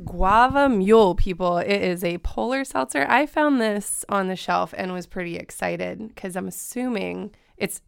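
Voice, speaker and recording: A woman with laryngitis, hoarse and smoky-voiced, chatting and laughing as a guest on a podcast. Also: mid-range voice, a little slow, few pauses, no echo, clean studio sound. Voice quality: smoky, gravelly voice. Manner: laughs often, playful. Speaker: guest co-host. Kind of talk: casual podcast chat.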